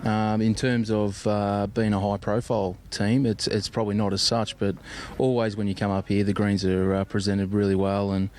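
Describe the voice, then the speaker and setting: A man's voice: on the low side, flat delivery, normal speed, few pauses, darkish tone, faint music behind. A young Australian man, a sports player, giving casual answers in an interview.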